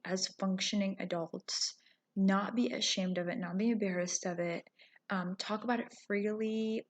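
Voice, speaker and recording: A woman's voice: medium pitch, vocal fry. A young adult woman, raised speaking Arabic, talking casually to her viewers with lots of ums and "you know"s. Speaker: vlogger. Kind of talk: casual talking-to-camera vlog.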